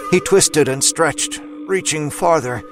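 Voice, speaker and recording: A man's voice: a little high, takes it slowly. Male horror narrator over music. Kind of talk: scripted horror story narration.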